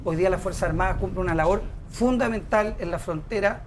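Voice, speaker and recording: A man's voice: sits high, fast talker, a little hiss or hum. Insistent middle-aged Chilean man debating. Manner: explanatory, insistent, defends government. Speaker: senate candidate, panel guest. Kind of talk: TV panel debate.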